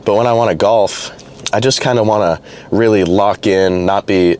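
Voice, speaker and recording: Stuffed-up young man with a deep voice. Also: a little mellow, slight background noise. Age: young adult. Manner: loose and rambling, with many restarts and fillers. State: hoarse and stuffed up from a cold. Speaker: fitness vlogger. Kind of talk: casual vlog talk to camera.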